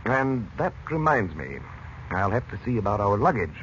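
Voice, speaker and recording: A protective, take-charge uncle from an old radio adventure. His pitch moves widely as he gives brisk orders and questions. The recording is dull and noisy. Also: very animated, a bit bright. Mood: calm, serious. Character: commanding, protective uncle, adventurous American man. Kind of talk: 1930s radio serial acting.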